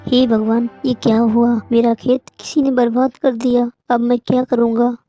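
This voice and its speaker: Kind young rabbit farmer voiced by a woman, highish. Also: flat delivery, moderate pace, dark tone, soft background music, close to an everyday voice. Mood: distressed. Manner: earnest, helpful. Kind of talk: cartoon moral story acting.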